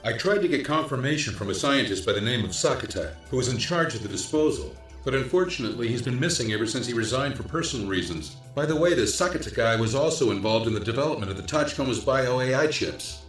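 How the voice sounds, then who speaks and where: Guy acting as a special ops investigator giving a briefing, quick talker, echoey room, game cutscene. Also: medium pitch, expressive, faint music behind, close to an everyday voice. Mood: informative. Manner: explanatory, measured briefing delivery. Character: diligent male special-ops investigator reporting intelligence findings. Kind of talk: English dub acting in a video game cutscene.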